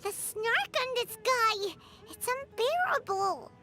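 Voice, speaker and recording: A tiny fairy companion in a game, squeaky high and bouncing all over the place, chirpy and blunt. Her lively little voice sits over clear background music. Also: slow, exaggerated voice. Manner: chirpy, blunt, talks about herself in third person.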